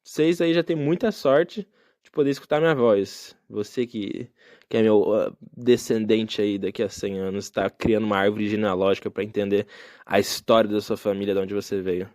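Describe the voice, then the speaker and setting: A man's voice: on the low side, steady tone, normal speed, a little mellow, dry recording, studio quality. A young man hosting a solo podcast, talking in a casual, reflective and rambling way with many fillers. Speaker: podcast host. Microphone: phone.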